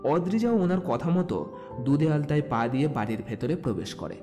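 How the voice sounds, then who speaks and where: A man's voice: on the high side, big pitch swings, normal speed, music in the background. A man narrating a scripted romantic story as a storyteller.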